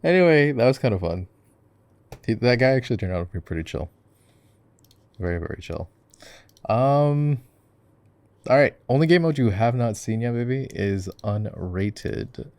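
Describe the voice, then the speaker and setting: A man playing a relaxed, playful gamer boyfriend in a casual roleplay, chatting with easy slang as he games and coaches his girlfriend. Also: mid-range voice, normal speed, long pauses, no room sound, close to an everyday voice. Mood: easygoing, engaged. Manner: laid-back, giggly, casual slangy talk. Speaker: ASMR roleplay voice actor. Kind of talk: casual ASMR roleplay, gaming chat.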